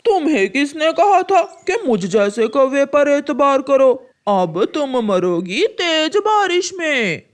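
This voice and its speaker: A woman voicing a lazy, sly male crow in a children's cartoon, with a wheedling, drawn-out way of talking. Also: sits high, big pitch swings, exaggerated voice. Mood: smug.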